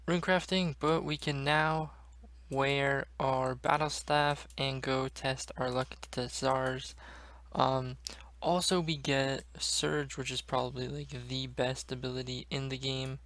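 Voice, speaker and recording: A man's voice: medium pitch, gravelly, fairly bright tone, dry recording. A man giving casual commentary over his own gameplay, chatting directly to his viewers as he plays. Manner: chatty, addresses viewers as guys. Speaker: gaming video creator. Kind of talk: casual let's-play commentary.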